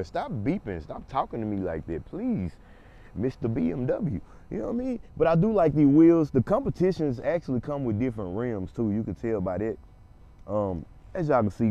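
A man with a hoarse voice from a cold, casually reviewing a car outdoors in a parking lot, talking straight to his viewers. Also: medium pitch, warm tone, dull audio. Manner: laid-back, talks straight to viewers. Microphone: handheld phone camera.

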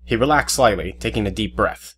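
A man's voice: fairly low pitch, animated, brisk, fairly bright tone. A man reading scripted narration for an audio drama as the storyteller. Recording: music behind the voice.

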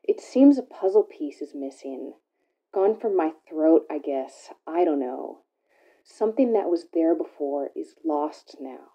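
A woman giving a slow, reflective spoken-word reading in a measured, pensive way with pauses. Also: deep voice, animated, mellow, low-quality recording. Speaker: reader of a spoken interlude.

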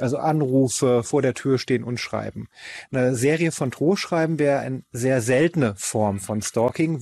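A man's voice: mid-range voice, darkish tone, no room sound. A man from explaining as an expert in an interview, matter-of-fact and didactic. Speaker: expert commentator on stalking.

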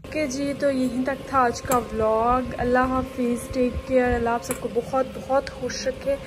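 A woman's voice: high voice, little pitch movement, moderate pace. A young woman, chatting straight to viewers about food in a casual vlog. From Pakistan. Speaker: food vlogger. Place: busy restaurant and city street. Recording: loud crowd and street noise.

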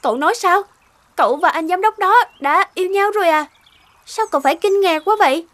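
An actress voicing a young female office worker in an animated cartoon, with a coy, expressive way of talking. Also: very high voice, a little fast. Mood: insistent.

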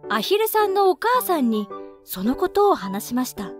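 A woman narrating a children's storybook in an expressive, clearly phrased read-aloud style. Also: high voice, normal speed. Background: children's background music.

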